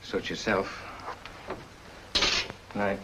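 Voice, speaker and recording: A resigned working man from a 1950s crime film. His voice is low and blunt. The old film track is clearly noisy. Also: level tone, extremely slow. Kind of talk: 1950s film noir acting.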